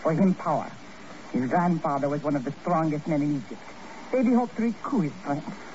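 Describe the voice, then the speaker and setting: An actress playing a cold, scheming foreign princess in a 1950s radio drama, theatrical, haughty and formal. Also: female voice, sits low, lively intonation, fairly quick, a little mellow. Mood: cool, scornful. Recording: noisy muffled old radio recording.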